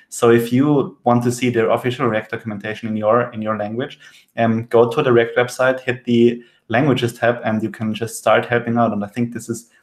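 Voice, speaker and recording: A man whose first language is German, talking in a measured, thoughtful way as a panelist in a casual tech discussion. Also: mid-range voice, roomy sound. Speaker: podcast panelist.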